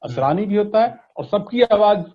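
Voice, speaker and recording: A man with an Indian accent speaks in a fairly high voice. He explains things step by step like an instructor, slipping between languages as an interview guest. The recording is dull and slightly lo-fi. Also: expressive, normal speed. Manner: didactic, frequent check-in tags. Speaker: interview guest, voice industry expert. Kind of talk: explanatory interview answers mixing Hindi and English. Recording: dull, lo-fi audio.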